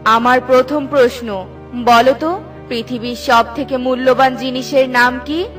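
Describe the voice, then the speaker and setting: A kind yet commanding fairy from a children's cartoon, acted by a woman. She speaks with calm authority in a pitch lower than the narration, over light music. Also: unhurried. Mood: stern. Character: kindly but firm female fairy with magic powers.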